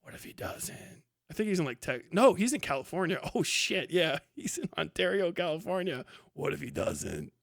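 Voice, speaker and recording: A man livestreaming casual, profane commentary and reacting to chat, animated and sarcastic as he slips into imagined quotes. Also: fairly high pitch, no echo, clean studio sound. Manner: profane, slangy. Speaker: live streamer.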